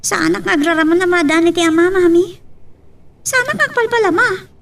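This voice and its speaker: A very high, childlike voice with a Filipino accent, sounding slightly distant from the mic. She is a pert, wounded little girl in an audio drama, firing questions with stubborn emphasis. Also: fast, gravelly, fairly bright tone, heavily acted. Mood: hurt, insistent. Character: sassy, wounded little girl questioning her mother and a stranger.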